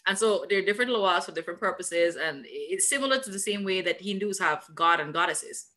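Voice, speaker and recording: A young woman from Guyana moderating a video call discussion, lively and curious. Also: lowish voice, fairly quick.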